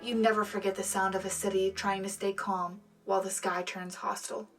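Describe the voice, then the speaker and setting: A woman's voice: medium pitch, level tone, fairly quick, fairly bright tone, soft background music, close to an everyday voice. A woman playing an earnest, patriotic wartime radio co-anchor, reading scripted news in a sincere, dramatic way. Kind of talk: scripted newscast narration.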